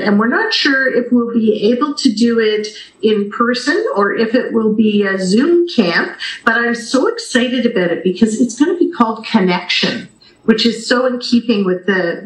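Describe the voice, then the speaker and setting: A woman's voice: mid-range voice, fairly bright tone, slight background noise. A Canadian woman speaking enthusiastically and conversationally as a guest in a radio interview. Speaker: interview guest, theatre company representative. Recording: roomy, echoing sound.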